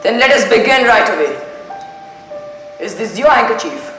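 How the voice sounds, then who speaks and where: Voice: medium pitch, big pitch swings, lightly acted. Young student playing a methodical detective on an echoey stage. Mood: questioning, formal. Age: teenager. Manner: deliberate, questioning, formal, with French courtesies. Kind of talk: school stage play acting. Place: indoor school stage. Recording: echoey school stage recording with music.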